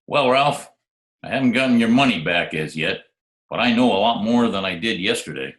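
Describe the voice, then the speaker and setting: Man playing a low-voiced hard-boiled detective. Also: animated, moderate pace, a bit bright. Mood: dry. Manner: dry, sardonic. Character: wisecracking hard-boiled private detective, narrating his case. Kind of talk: 1940s radio detective acting and narration. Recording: roomy remote home recording, faint music.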